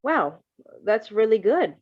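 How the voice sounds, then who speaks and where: A woman's voice: on the high side, very animated, takes it slowly, husky, phone-quality sound, exaggerated voice. Woman reading wary, wounded young man, terse. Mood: curious, impressed.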